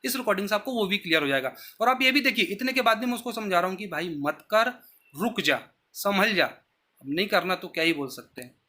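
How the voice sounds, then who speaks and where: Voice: mid-range voice, expressive, brisk, sharp tone. A person speaking earnestly and insistently to viewers, justifying themselves point by point. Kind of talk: earnest explanatory address to viewers.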